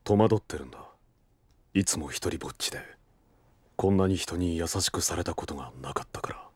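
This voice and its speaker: A man's voice: a little fast, a little mellow, slightly rough, no room sound. A man playing a stoic, guarded wandering monster hunter in an anime audio drama, speaking tersely. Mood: brooding, reserved. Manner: terse, brooding, low-key delivery.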